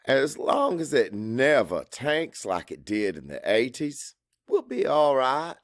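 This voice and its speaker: A man's voice: mid-range voice, sing-song, slow, dry recording, studio quality. A man playing a middle-aged Cajun father, anxious and guarded, narrating his own story in a brooding, conversational audiobook style. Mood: resigned, guarded. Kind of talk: first-person dramatic audiobook narration.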